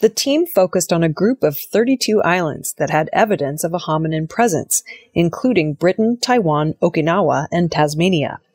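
A woman reading scripted news in a measured, formal manner. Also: on the low side, expressive, a little mellow, dry recording, clean studio sound. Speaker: news reader.

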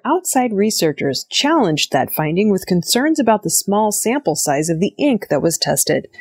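A woman with a slightly low voice presents the news. She reads from a script in a measured, formal way, as a narrator would. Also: a little mellow.

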